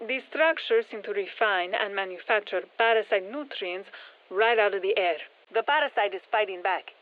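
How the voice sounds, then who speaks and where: An actress playing a brisk, focused female military scientist giving clipped mission instructions over a radio in a video game. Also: mid-range voice. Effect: radio filter. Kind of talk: scripted game mission briefing. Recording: tinny band-limited radio transmission.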